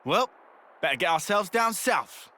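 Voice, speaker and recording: A man's voice: sits high, lively intonation, measured pace, pushed and bright, heavily acted. A man playing a boisterous, casual young brawler in a video game, slangy in manner. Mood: brisk. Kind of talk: video game cutscene acting.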